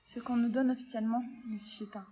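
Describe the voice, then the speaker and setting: A woman playing a grave nurse in a French audio drama, speaking in a measured, sombre way. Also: a little high, fairly even intonation, dark tone, close to an everyday voice. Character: grave nurse reporting on weakened camp survivors.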